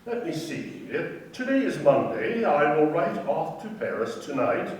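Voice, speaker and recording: An actor playing a measured, formal, deliberate office businessman in a radio play. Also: on the high side, big pitch swings. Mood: businesslike. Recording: very echoey, distant from the mic.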